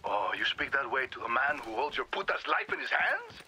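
An actor playing a mocking, sadistic cartel boss in a video game, leering and menacing over a radio. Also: male voice, medium pitch, wildly swooping pitch, brisk, bright, forceful tone, a little hiss or hum, exaggerated voice. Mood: mocking threat. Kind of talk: video game villain acting.

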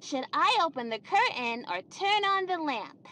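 A woman's voice: very high-pitched, very animated, measured pace, pushed and bright, exaggerated voice. A woman voicing a cheerful little girl who hosts a children's cartoon, asking the viewer questions in a sing-song way. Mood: eager, friendly. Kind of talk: children's educational cartoon acting. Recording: music behind the voice.

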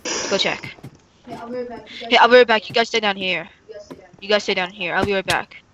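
Mid-pitched gamer on a muffled mic. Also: moderate pace, crowd noise. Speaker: player in a gaming video. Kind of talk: casual in-character chat during a Minecraft roleplay. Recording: muffled mic with faint noise.

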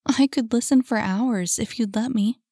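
A woman reading a storybook and voicing a gentle, caring young woman who speaks softly and pleadingly to a troubled friend. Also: a little high, normal speed, no echo, studio quality, pitched above the performer's own voice. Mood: tender. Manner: soft, pleading, concerned.

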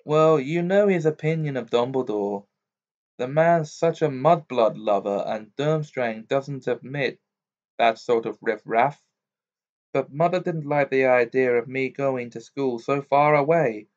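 A man's voice: mid-range voice, a little slow, a little mellow, dull audio, close to an everyday voice. Man putting on a drawling, snobbish schoolboy. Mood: haughty, boastful. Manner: drawling, pompous. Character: snobbish, sneering rich schoolboy.

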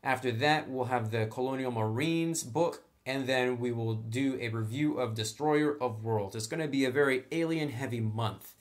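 A man's voice: medium pitch, moderate pace, few pauses. A man hosting a casual tabletop roleplaying tutorial, talking informally and thinking aloud as he goes.

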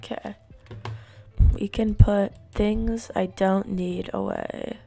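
A woman's voice: medium pitch, steady tone, slow pace, gravelly, mellow. A young woman streaming a block-building game, muttering casual commentary to herself while getting over being sick. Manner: mumbling self-talk. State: recovering from illness.

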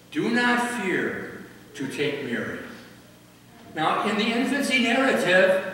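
An older priest preaches in a high, light voice, lingering over each phrase. He is heard from a distance through the long, echoing reverb of a large church, with faint room ambience underneath. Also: a bit bright, a little hiss or hum. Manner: slow, measured preaching with dramatic pauses. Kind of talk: sermon. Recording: echoey church from far off.

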